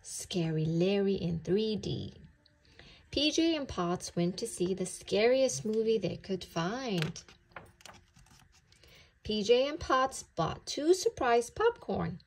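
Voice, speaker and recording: A woman reading a children's story aloud in an expressive, deliberate way. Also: mid-range voice, poor audio.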